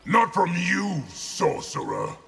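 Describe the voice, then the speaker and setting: A man's voice: high-pitched, slow pace, pushed and bright, breathy edge, exaggerated voice. A man playing a defiant, vengeful fighter in a video game, with a blunt, combative delivery. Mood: defiant anger. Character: defiant, angry fantasy warrior, blunt and vengeful. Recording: game dialogue with music behind.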